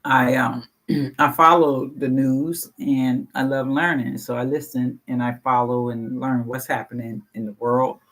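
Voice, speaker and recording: A woman in her sixties with a deep, low voice, giving a rambling, frank personal share at a support meeting and swearing casually. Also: flat delivery, measured pace, poor audio. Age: 60s. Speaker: meeting participant sharing.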